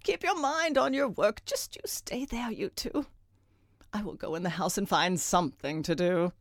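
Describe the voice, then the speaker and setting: A woman's voice: medium pitch, normal speed, dry recording, clean studio sound, lightly acted. A woman voicing a sly, breezy male cat in a children's story, coaxing with mock innocence. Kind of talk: children's storybook character reading.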